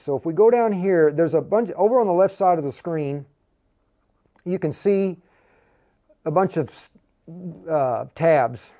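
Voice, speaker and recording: A man's voice: fairly high pitch, mellow. A man hosting an informal step-by-step tech tutorial, thinking aloud as he demonstrates. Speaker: livestream host giving a radio programming tutorial. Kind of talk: casual step-by-step software tutorial.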